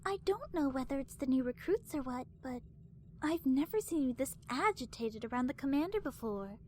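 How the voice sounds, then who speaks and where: High-voiced, earnest woman as a young lieutenant. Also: sing-song, normal speed, dark tone, a little hiss or hum. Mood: concerned, careful. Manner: deferential but frank, careful. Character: earnest, probing young female military lieutenant. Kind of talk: anime parody voice acting.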